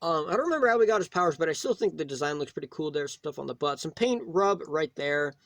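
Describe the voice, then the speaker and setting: A man hosting a casual, unscripted toy review, talking in a rambling, halting way and often rephrasing himself. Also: a little high, animated, a bit bright, no room sound, clean studio sound. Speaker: toy reviewer, video host.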